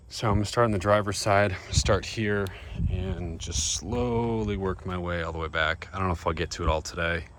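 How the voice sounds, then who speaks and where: Casting: American male DIY vlogger, lowish voice, laid-back and plain-spoken, recorded on the go with clear vehicle and ambient noise. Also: a bit bright. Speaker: vlogger showing DIY van upgrades. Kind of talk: casual DIY walkthrough to camera.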